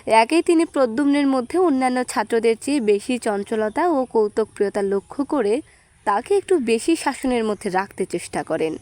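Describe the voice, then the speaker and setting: A woman's voice: on the high side, lively intonation, moderate pace, warm tone, no room sound. A woman reading a literary short story aloud as scripted narration. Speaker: audio story reader.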